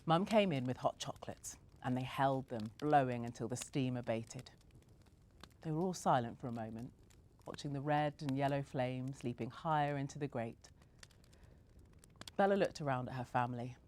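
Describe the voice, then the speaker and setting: A woman narrates a storybook in a gentle, measured manner. Her voice sits low for a woman. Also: slight background noise. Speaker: story reader.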